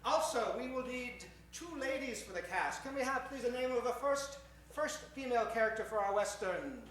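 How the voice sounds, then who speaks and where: Man, very high voice, distant echoing hall, theatrical stage emcee, mock-formal and courteous. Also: expressive, moderate pace, a bit bright. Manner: courteous, mock-formal old-time radio showman. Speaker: stage announcer warming up an improv show. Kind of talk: theatrical live emceeing to an audience. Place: stage in front of a live audience. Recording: echoey hall, distant microphone.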